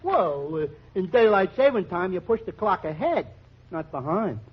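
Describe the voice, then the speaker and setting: An actor playing a dim-witted, amiable barfly, hesitant and earnest, in a 1940s radio comedy. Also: male voice, high voice, very animated, moderate pace, breathy edge, full character voice. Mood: earnestly confused. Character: dim-witted, amiable male tavern regular with muddled logic. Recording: dull vintage radio recording.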